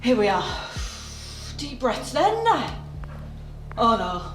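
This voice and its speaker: A woman plays an anxious but plucky female office worker in an audio drama. Her voice is high and very animated, colloquial and easily flustered, in an echoey space with music behind. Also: slow pace. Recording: echoey room, music underneath.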